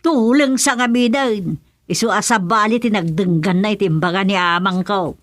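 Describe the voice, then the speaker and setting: A woman's voice: medium pitch, moderate pace, no room sound, studio quality, lightly acted. A woman with an Ilocano accent playing a scolding, down-to-earth village woman in a radio comedy drama. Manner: chiding, exclamatory.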